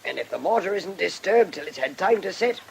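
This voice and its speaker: A man's voice: high-pitched, expressive, fairly quick, creaky, a little hiss or hum. An actor playing a chatty, deferential working man with folksy rustic phrasing in a radio drama. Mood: chatty, satisfied.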